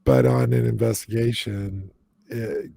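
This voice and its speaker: A male host with a dark, gravelly voice on a casual paranormal livestream. The sound is dry and close, and he chats loosely with viewers, full of ums and you-knows. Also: on the low side, expressive, a little slow, no echo. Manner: talks to the chat audience, frequent fillers.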